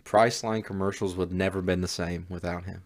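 A man's voice: low voice, steady tone, fairly quick. A man in his mid twenties co-hosting a podcast, joking crudely and dryly in casual chat. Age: 20s. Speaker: podcast co-host.

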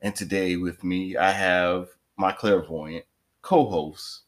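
A man's voice: deep voice, fairly even intonation, slow pace. A man hosting a podcast, joking around and laughing often in loose, rambling chat. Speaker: podcast host.

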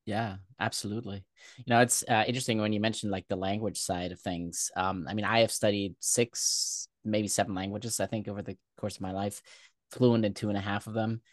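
A man from Germany whose first language is German, hosting a conversational interview in a thoughtful, halting way with many fillers. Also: on the low side, steady tone, no room sound, clean studio sound. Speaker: podcast host.

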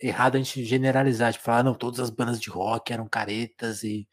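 Fast rambling male interviewer. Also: mid-range voice, little pitch movement. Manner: rambling, rephrasing questions. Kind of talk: interview questions.

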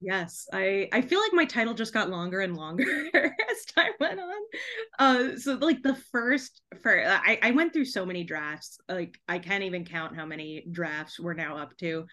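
A woman talking casually as an interview guest, chatty and animated, often interrupting herself mid-thought. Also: medium pitch, normal speed. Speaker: podcast guest, debut author.

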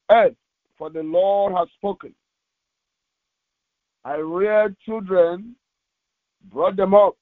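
A man preaching as a pastor, reading scripture aloud in a solemn, deliberate way with short halting phrases, over a narrow phone-like recording. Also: high voice, dry recording. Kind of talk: devotional sermon with slow scripture reading aloud. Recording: tinny, phone-like narrowband audio.

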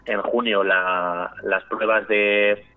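A man's voice: lowish voice, little pitch movement, takes it slowly. Young man on a tinny phone line. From Spain, raised in Valladolid. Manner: chatty, friendly, many fillers and restarts. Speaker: actor, interview guest. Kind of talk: casual phone interview.

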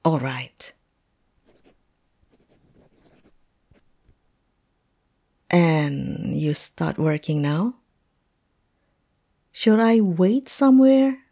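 A woman acting a hesitant, polite, heartbroken client in a fantasy roleplay audio drama, speaking haltingly. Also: low-pitched, dark tone, exaggerated voice. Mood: polite, subdued.

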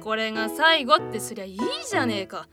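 A woman voicing a brash, hot-tempered devil in a radio drama, with rough, masculine, goading speech. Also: fairly high pitch, lively intonation, quick pace, over music, natural voice.

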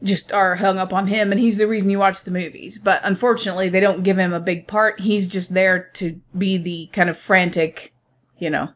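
Frank woman with a medium-pitched voice. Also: fairly even intonation, normal speed. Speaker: film review co-host. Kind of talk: casual film review chat.

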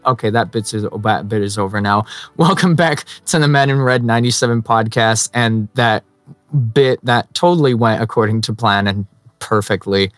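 A man's voice: lowish voice, a bit bright, dry recording, studio quality. A young man with a Chicago accent hosting a casual sports podcast, laughing easily and restarting his sentences. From Chicago area. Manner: laughs often, halting restarts. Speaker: podcast host. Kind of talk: casual chat.

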